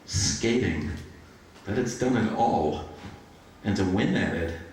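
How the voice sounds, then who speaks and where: A man reading poetry aloud at a live event in a measured way. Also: lowish voice, expressive, a little mellow. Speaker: poetry reader. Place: bookshop event, recorded from a distance. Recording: echoey room from far off, faint noise.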